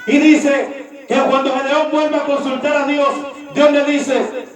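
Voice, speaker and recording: A man's voice: extremely high pitch, fairly even intonation, slow pace, a crowd in the background. A man preaching a sermon emphatically, repeating phrases and putting questions to his congregation. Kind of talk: impassioned sermon with acted-out dialogue. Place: large echoey room with a congregation. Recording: very echoey hall, distant mic, audience present.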